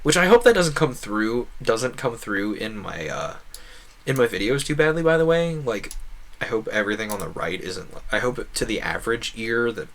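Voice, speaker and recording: A man's voice: lowish voice, expressive, normal speed, a bit bright. A man giving casual gameplay commentary as a streamer, thinking aloud in a wordy, meandering way. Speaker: game streamer.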